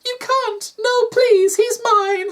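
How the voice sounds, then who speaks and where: A woman voicing a small, bald male alien creature that is possessive and sinister, in a soft, plaintive voice. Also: very high-pitched, steady tone, takes it slowly, voice pushed up, exaggerated voice. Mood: desperate pleading. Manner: pleading, plaintive.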